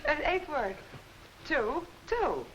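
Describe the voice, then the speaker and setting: Very high, eager woman calling out guesses. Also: big pitch swings, takes it slowly, a bit bright, low-quality recording. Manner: eager, repeating words. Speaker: charades panelist. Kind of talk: calling out rapid guesses in short bursts. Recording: old 1950s TV sound, faint crowd noise.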